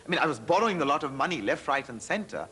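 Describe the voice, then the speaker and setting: A man's voice: on the high side, rapid-fire, husky. A man with an Indian accent speaking candidly and animatedly in an interview, often restarting his sentences. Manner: animated, self-deprecating, with frequent restarts.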